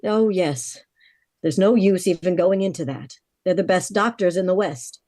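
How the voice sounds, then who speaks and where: A woman's voice: fairly low pitch, moderate pace, warm tone, dry recording, studio quality, close to an everyday voice. A woman playing a worried, frank young heiress of twenty-four in a vintage-style radio drama, confiding her story earnestly. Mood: grave, worried.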